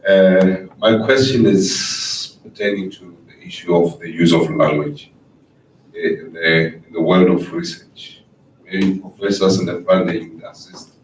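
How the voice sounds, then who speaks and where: Very deep-voiced man, distant and echoey. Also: fairly even intonation, unhurried. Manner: hesitant, searching for words. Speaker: audience member asking a question. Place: large auditorium. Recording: echoey hall, distant mic, faint rumble.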